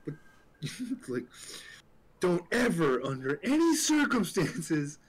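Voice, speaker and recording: A man with a fairly high, husky voice banters casually. He is jokey and mock-emphatic, and his pitch moves around a lot. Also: big pitch swings, takes it slowly, rough recording.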